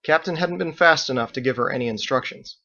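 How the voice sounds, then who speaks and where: A man's voice: mid-range voice, quick pace, gravelly, bright, forceful tone, dull audio. A man reading his own novel aloud as scripted audiobook narration.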